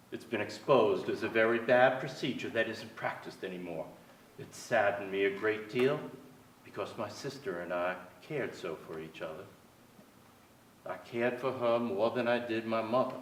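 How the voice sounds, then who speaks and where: A man's voice: on the low side, close to an everyday voice. A man in his sixties playing a wistful, wry aging playwright, reminiscing in a theatrical, reflective staged reading. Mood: sorrowful. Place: theater stage before an audience. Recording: echoey theater stage, distant mic, faint noise.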